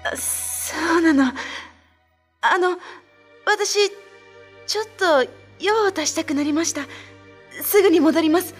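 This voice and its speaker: A woman's voice: very high-pitched, slow, long pauses. A woman playing an anxious young sister in a radio drama, speaking politely and hesitantly. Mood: nervous, evasive. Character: timid, anxious young younger sister seeking her brother. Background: background music.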